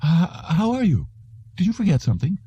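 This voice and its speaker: A polite, placating female receptionist in a science fiction story, acted by a male narrator. Her voice is lifted well up in pitch and stays mellow and dry. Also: huge pitch swings, a little fast, breathy edge, dry recording, muffled recording, full character voice. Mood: nervous, startled. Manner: hesitant, placating. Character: nervous, polite young female receptionist turning composed.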